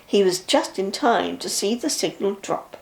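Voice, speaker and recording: An older woman, a grandmother, reading a children's story aloud with expressive narration. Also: medium pitch, measured pace, gravelly, low-quality recording.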